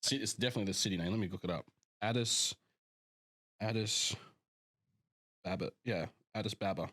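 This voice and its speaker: A man's voice: fairly low pitch, brisk, a bit bright, no room sound, clean studio sound. A young Australian man, a physique coach, chatting casually and swearing freely on a podcast. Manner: laid-back, sweary, rambling.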